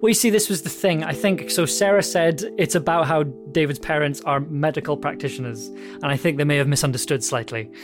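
A British man hosting a casual chat with his parents, self-mocking and laughing often, with halting restarts. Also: a little high, music in the background. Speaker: podcast host interviewing his parents.

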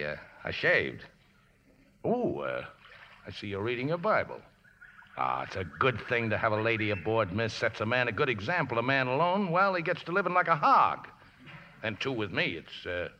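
A rough, boozy riverboat skipper from a 1950s radio play. His voice is forceful and swings widely as he blusters and whines in folksy, broken grammar. The old recording is dull, with some noise. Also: medium pitch, sing-song, moderate pace. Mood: sheepish. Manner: folksy ungrammatical talk, blustering and whining. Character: rough, hard-drinking, uneducated riverboat skipper, excitable and grumbling. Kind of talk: 1950s radio drama acting.

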